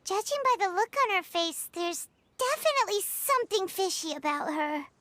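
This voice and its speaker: Squeaky, very high-pitched cheeky little sidekick. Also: female voice, big pitch swings, normal speed, sharp tone, exaggerated voice. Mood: suspicious. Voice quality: squeaky, childlike. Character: small floating childlike sidekick, cheeky and suspicious. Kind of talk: English game voice acting.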